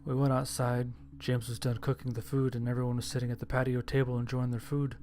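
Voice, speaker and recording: A man's voice: medium pitch, flat delivery, fast, warm tone, close to an everyday voice. A man playing an injured survivor who narrates his own story in scripted horror audio drama style. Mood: somber storytelling. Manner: scripted, descriptive storytelling with casual profanity.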